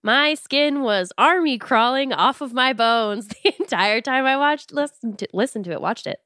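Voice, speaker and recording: A woman's voice: sits high, lively intonation, moderate pace, bright, forceful tone, no room sound, clean studio sound. A woman co-hosting a podcast, chatting casually and comedically with a sarcastic, joking manner. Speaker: podcast co-host.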